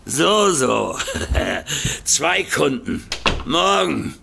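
An actor playing a gruff, dismissive older estate agent boss in a comedic audio drama, gravelly and drawling. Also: male voice, on the high side, very animated, very slow, pushed and bright, a little hiss or hum, full character voice. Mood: suspicious, drawling. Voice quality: gravelly, creaky.

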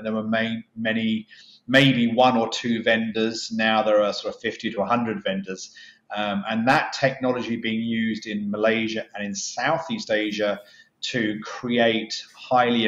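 A man in his fifties giving emphatic, conversational interview answers as a company chief executive, often adding asides. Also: fairly low pitch. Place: office, indoors. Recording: echoey, dull video-call audio.

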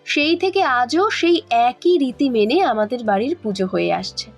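A woman's voice: high voice, expressive, normal speed. A woman reading scripted first-person narration for a dramatized audio horror story. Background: faint background music.

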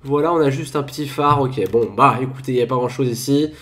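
A man's voice: medium pitch, steady tone, fast talker. A man with a stuffy, congested voice giving chatty, playful Minecraft commentary as a gaming video creator. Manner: chatty, playful, bursts into laughing shouts. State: stuffy with a cold. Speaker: gaming YouTuber.

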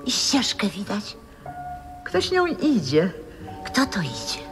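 An actress playing a dreamy old former opera singer lost in tender memories, in a 1960s radio drama. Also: female voice, medium pitch, wildly swooping pitch, takes it slowly. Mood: dreamy, wistful. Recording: long reverb, music underneath, vintage radio.

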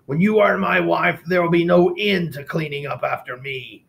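A man's voice: fairly high pitch, moderate pace, vocal fry, a bit bright, voice pushed up. A man voicing a boorish, pompous male pig who snorts and sings grandly.

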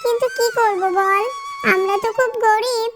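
A woman's voice: extremely high pitch, steady tone, normal speed, music in the background. A woman voicing a sweet, pleading little fox girl in a children's cartoon, with a childlike manner. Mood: pleading, sad.

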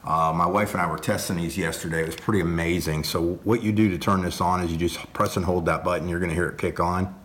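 A middle-aged man with an American accent talks through a product in a casual, conversational way. His voice is low-pitched and his delivery is unscripted and explanatory. There is noticeable background noise, as in an everyday recording made at home. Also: fairly even intonation, fast talker, hardly any pauses, fairly bright tone. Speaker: product reviewer. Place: open-plan dining room at home, indoors.

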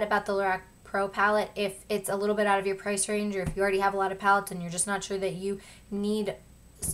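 A young woman talks straight to a camera set up close in her bedroom, her voice hoarse and croaky. She gushes warmly and eagerly over the things she likes. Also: mid-range voice. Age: young adult. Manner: enthusiastic, gushing about favorites. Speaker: beauty vlogger. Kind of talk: casual product review. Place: bedroom at home. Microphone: camera in front at close range.

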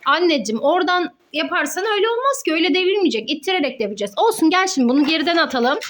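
High-pitched affectionate mom hosting playtime. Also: female voice, expressive, very fast, fairly bright tone, a little hiss or hum. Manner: encouraging, affectionate, addressing child and viewers. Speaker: mother hosting a children's play video. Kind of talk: playful narration to child viewers.

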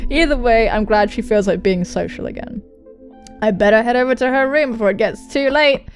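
A young woman hosting a let's play, reading a visual novel aloud and commenting on it with excitable, sweary exclamations. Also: fairly high pitch, fairly quick. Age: young adult. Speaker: gaming video host. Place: indoor room at home, on webcam. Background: game soundtrack music.

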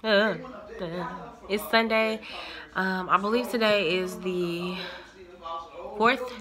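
A woman's voice: mid-range voice, slow, a crowd in the background. A woman, a little congested from a cold, chatting casually to viewers on a phone held in her dining room. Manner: chatty, addresses viewers as y'all. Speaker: vlogger. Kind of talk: casual chat to camera. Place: dining room at home, indoors. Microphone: handheld phone at arm's length.

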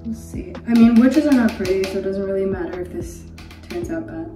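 A woman's voice: mid-range voice, animated, fairly quick. A young woman student chatting casually through her day, upbeat and chatty, her throat slightly sore from a recent cold. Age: young adult. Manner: chatty, upbeat, lots of "so yeah" and "like". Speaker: student vlogger. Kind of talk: casual day-in-the-life vlog. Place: home room with blinds and a bathroom. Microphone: phone or camera at arm's length. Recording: music playing underneath.

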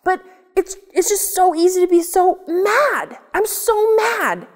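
A woman's voice: high voice, big pitch swings, slow, exaggerated voice. A woman playing a bubbly YouTuber girl. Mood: glum. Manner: perky, exaggerated and sing-song. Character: bubbly young female YouTuber, excitable and dramatic. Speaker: children's show character. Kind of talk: kids' church show acting.

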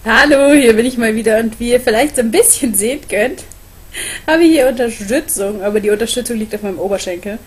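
A woman's voice: fairly high pitch, fast talker. A young adult woman chatting casually and informally to camera as a vlogger, recorded at home on a webcam. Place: small room at home, on a sofa.

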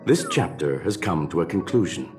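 A man playing a courteous, scholarly mechanical gentleman in a video game, speaking in a formal, measured and philosophical way. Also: low-pitched, big pitch swings, over music. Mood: reflective, courteous. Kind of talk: scripted game dialogue.

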